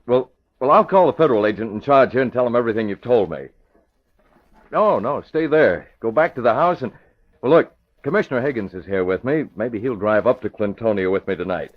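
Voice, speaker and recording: An actor playing a businesslike, assured man in charge, giving brisk directions in an old radio drama. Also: mid-range voice, lively intonation, natural voice. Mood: decisive. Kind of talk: 1940s radio drama acting. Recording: dull old radio recording.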